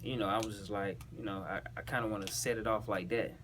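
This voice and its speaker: A man's voice: on the low side, steady tone, fast, background noise. A man with a Southern US accent chatting casually and slangily as he explains rap bars, often checking that the listener follows. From South Carolina, US. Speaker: battle rapper breaking down their own bars.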